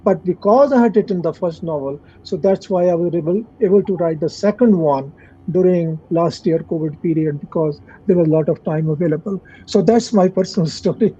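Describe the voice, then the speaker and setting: A man's voice: sits high, a little fast, mellow, breathy edge, muffled recording. A man casually explaining a personal story as a participant in a panel discussion.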